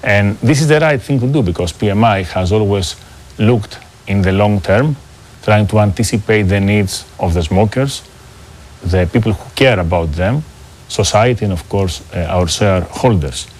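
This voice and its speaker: Middle-aged Greek-accented man, fairly low voice. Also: normal speed, darkish tone. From Greece. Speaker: business executive, interview guest. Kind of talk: prepared corporate interview answers.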